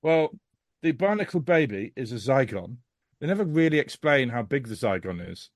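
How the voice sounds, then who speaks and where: A man talks casually as a podcast host reviewing something, in a mid-pitched voice with very little room sound. He is emphatic and exasperated, telling it in an animated, storytelling way. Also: normal speed, no echo, clean studio sound.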